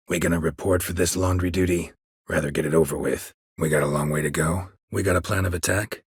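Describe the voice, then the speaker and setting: A male actor playing a stoic, world-weary monster hunter in a video game, terse and deadpan, with a low gravelly rasp. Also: no room sound, studio quality, exaggerated voice. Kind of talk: video game character dialogue.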